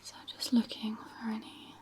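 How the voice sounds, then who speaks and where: A woman doing a soft-spoken ASMR medical roleplay as a physiotherapist, with a gentle, reassuring, whispery manner. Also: normal speed. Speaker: ASMR performer playing a physiotherapist.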